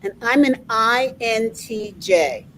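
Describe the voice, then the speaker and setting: A woman's voice: a little high, lively intonation, slow pace, a bit bright, a little hiss or hum. Emphatic woman interviewer. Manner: emphatic, repeats phrases, personal anecdotes. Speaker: interviewer, coaching association host. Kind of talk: conversational interview.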